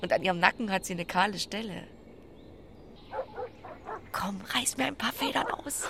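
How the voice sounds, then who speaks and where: An actress playing a blunt, tender pig-farming countrywoman in an audio drama, often speaking in a hushed, intimate half-whisper. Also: mid-range voice, normal speed, creaky, noisy background, natural voice. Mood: tender, playful. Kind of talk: naturalistic audio drama acting.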